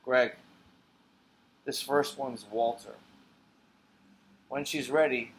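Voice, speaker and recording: A man's voice: mid-range voice, steady tone, takes it slowly. Man reading a play script, noisy background. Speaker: playwright reading own play. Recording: clear background noise.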